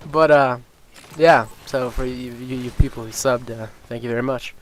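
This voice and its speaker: Man, stuffy nose, casual gaming chat, rambling, mid pitch, lots of ums, swears. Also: measured pace, background noise. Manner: rambling, with many ums and uhs, and swears freely; gets excited and incredulous about game balance. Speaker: gaming YouTuber. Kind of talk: casual gameplay commentary.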